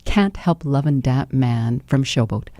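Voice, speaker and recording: A woman talks casually as a singer guesting on live radio. Her speaking voice is low and warmly mellow. The sound is dry and close, as in a radio studio. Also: a little slow, no echo. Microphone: close studio microphone.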